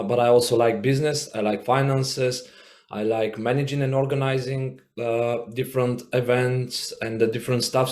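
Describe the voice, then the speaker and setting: A man from Bulgaria gives a friendly self-introduction as a first-year student. His voice sits at a middle pitch, and he often pauses with "uh". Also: a little mellow. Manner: friendly, with frequent "uh" fillers.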